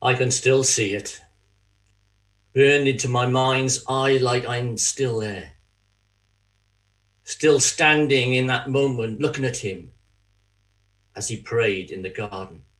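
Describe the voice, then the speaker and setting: A man playing a remorseful betrayer in a dramatic church monologue, halting, anguished and confessional as he pleads. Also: medium pitch, a bit bright, low-quality recording. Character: Judas, remorseful betrayer haunted by guilt, pleading.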